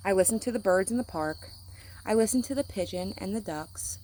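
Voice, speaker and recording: A woman's voice: mid-range voice, animated, a little fast, mellow, a little hiss or hum. A woman reading a picture book aloud to children, speaking simply like a teacher to young listeners. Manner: acts out sound words.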